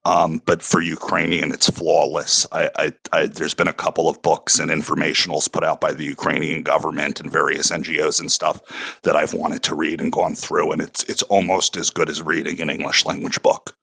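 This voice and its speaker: Very deep gravelly man, halting. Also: flat delivery, sharp tone, no room sound. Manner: halting, stammers. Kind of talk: casual radio chat.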